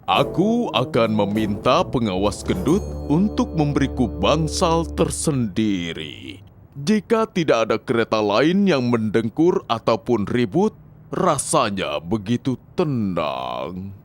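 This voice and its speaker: A stuck-up grumbly train for a kids cartoon, super animated pitch, music behind. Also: male voice, slow. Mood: haughty. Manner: pompous, complaining. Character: proud, grumbling, self-important male steam engine. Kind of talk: animated children's cartoon dub.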